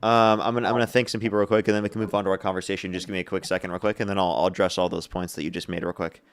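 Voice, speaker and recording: Fast-talking young male stream host. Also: deep voice, flat delivery, clear, resonant tone. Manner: brisk, repeats stock lines to viewers. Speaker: livestream debate host. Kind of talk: live-stream call-in chat.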